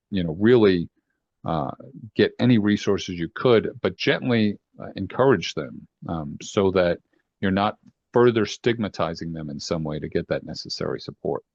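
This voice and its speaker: This is a deep-voiced man with a Boston accent, recounting his career in an earnest, halting way full of "uh"s and restarts. The recording sounds dry and a little muffled, with no room around him. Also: no echo. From New York and Boston. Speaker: retired FBI assistant director, guest. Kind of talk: reflective interview storytelling.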